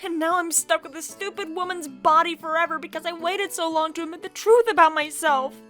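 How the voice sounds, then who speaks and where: A woman's voice: very high voice, fairly even intonation, fairly quick, pushed and bright, soft background music, voice pushed up. A woman voicing an anxious, self-loathing middle-aged woman with a hesitant, stammering delivery in a story. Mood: despairing.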